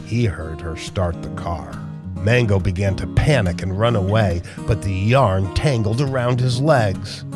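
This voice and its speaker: A man reading a children's picture book aloud in a measured, expressive storytelling style. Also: lowish voice. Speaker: storybook narrator. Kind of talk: children's read-aloud narration. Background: music with plucked guitar.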